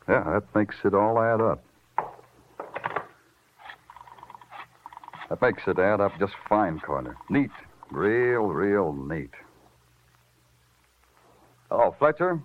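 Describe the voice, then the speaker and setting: Hard-boiled cop from old radio noir, wry and clipped, lively delivery, thin tinny sound. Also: male voice, lowish voice, slight background noise. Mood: grim, then menacing. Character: hard-boiled, sardonic New York police detective. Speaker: actor in a radio crime drama. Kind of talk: 1950s radio noir acting and narration. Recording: tinny vintage radio recording with music.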